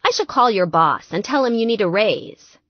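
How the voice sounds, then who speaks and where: A woman's voice: medium pitch, big pitch swings, normal speed, no echo, dull audio. A woman voicing a lovesick, clingy young man in an audiobook, earnest, pleading and gushing. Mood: eager.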